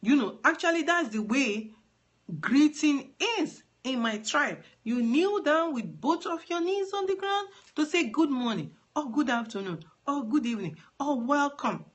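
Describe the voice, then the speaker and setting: A Nigerian woman with a high, bright voice speaks earnestly into a phone for a live stream. She explains things patiently, often repeating a word to drive the point home. Her English carries the accent of a Yoruba speaker. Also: lively intonation, a little slow. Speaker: advocate for trafficking survivors. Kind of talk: live-streamed talk to followers.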